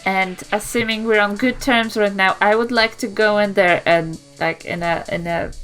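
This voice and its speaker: A woman's voice: mid-range voice, fairly even intonation, normal speed, fairly bright tone, faint music behind. A woman with a New Zealand accent chatting casually as a player in a tabletop roleplaying podcast, questioning and sceptical. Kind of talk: casual improvised game chat.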